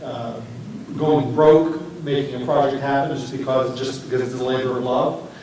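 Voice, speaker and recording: A man speaking off the cuff in a panel discussion, haltingly, often searching for his words. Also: mid-range voice, fairly even intonation, darkish tone. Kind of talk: panel discussion, unscripted talk. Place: echoey room, recorded at a distance. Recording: very echoey room, distant mic, faint noise.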